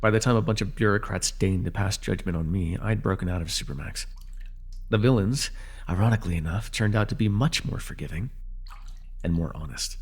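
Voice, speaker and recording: Man acting a low, gravelly, sardonic ex-hero villain. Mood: wry, bitter. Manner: sardonic, deliberate, addressing a captive. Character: bitter telepathic ex-hero turned villain, sardonic, coldly commanding, weary. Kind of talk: ASMR superhero roleplay acting.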